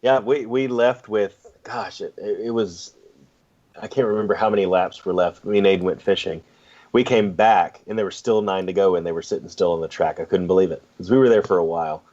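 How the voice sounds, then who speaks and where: Through slightly muffled, lo-fi audio, a man with a deep voice chats about sports as a podcast co-host. His delivery is casual and easygoing, with some hesitation as he goes. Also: steady tone, a little fast, darkish tone. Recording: dull, lo-fi audio.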